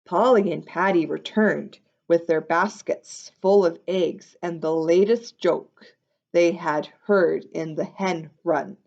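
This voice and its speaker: A woman reading a picture book aloud to young listeners in an expressive, unhurried way, adding simple explanations in casual asides. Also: on the low side, vocal fry, dull audio.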